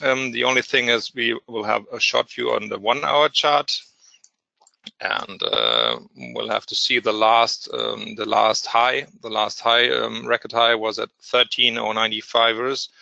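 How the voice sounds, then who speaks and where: A man walks through a live trading chart, stumbling over restarts and fillers as he goes. His voice is gravelly yet bright and tense, and it comes through a dry, dull webinar feed. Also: mid-range voice, unhurried, no echo. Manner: hesitant, many fillers and restarts. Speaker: day trader presenter. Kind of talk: live market commentary.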